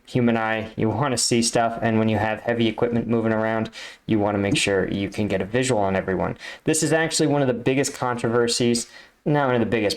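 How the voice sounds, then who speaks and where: A man with a medium-pitched voice gives casual reaction commentary. He talks like a host explaining things to viewers, conversationally, often tagging points with a quick check-in. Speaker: reaction video host, army veteran.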